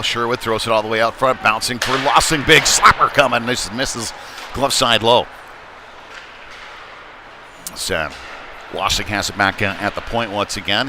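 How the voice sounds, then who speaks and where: A man doing live play-by-play commentary of an ice hockey game in a casual, unpolished, conversational way. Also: on the low side. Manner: excited calls when play heats up. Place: indoor ice rink. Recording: noisy live rink stream, clear background noise. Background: rink ambience and noise.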